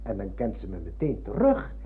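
An actor playing a polite, deferential middle-aged former pupil in a radio play. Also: male voice, lowish voice, wildly swooping pitch, fairly quick, slight background noise. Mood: apologetic. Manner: courteous, deferential. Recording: muffled phone-like old radio recording.

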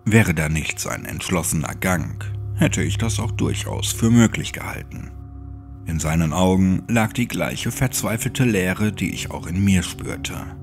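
A man narrates a creepypasta audiobook in a low, gravelly voice. The delivery is scripted, brooding and dramatic, and soft music runs underneath. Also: lively intonation, a little slow. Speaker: horror story narrator.

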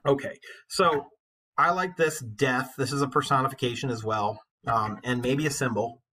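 Male English teacher, forties, forceful and a little husky, conversational lecture delivery with earnest emphasis. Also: medium pitch, normal speed. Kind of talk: informal literature lecture.

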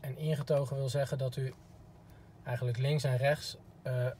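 A man's voice: medium pitch, flat delivery, normal speed, darkish tone. A man giving a polite, informal instructional demo as a car product expert, recorded inside a parked car. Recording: clear background noise.

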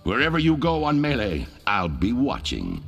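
A man's voice: mid-range voice, wildly swooping pitch, moderate pace, vocal fry, a bit bright, slightly rough, lo-fi sound, faint music behind, heavily acted. A man playing a mean, crooked sheriff in a cartoonish video game, threatening and condescending.

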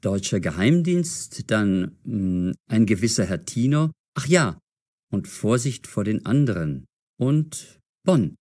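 Low-voiced, cautious young man. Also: sing-song, slow, a little mellow, heavily acted. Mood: thoughtful. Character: cautious, curious young man caught in adventure. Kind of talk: German-learner audio drama.

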